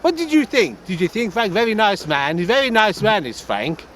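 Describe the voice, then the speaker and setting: A man doing a giggly high-voiced Norwegian braggart. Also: very animated, brisk, fairly bright tone. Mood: boastful. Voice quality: giggly, broken English, put-on foreign accent. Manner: boastful and earnest. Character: giggly Norwegian man, eager would-be tobogganist. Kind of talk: improvised comedy sketch. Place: outdoors on a snowy slope. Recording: noisy outdoor recording, traffic nearby.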